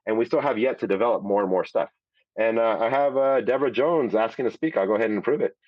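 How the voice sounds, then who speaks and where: Fast-talking low-voiced man, profane and rambling. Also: dry recording, dull audio. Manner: rambling, profane, frequent restarts. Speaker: host. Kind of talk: casual live audio show chat. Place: audio chat room.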